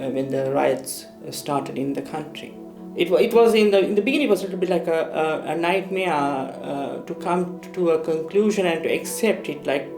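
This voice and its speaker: Middle-aged guy from sri lanka telling old war memories, kinda gravelly voice, stops and restarts a lot, echoey room with faint music under it. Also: on the high side, darkish tone. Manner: hesitant, many fillers and restarts. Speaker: interviewee recounting war memories. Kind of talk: documentary interview, personal recollection.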